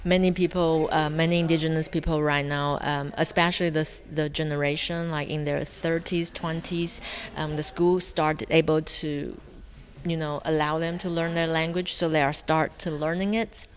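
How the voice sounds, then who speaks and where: Low-pitched woman with a Taiwanese accent. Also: level tone. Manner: halting, searching for words. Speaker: interview guest, film director. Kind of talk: conversational interview answers.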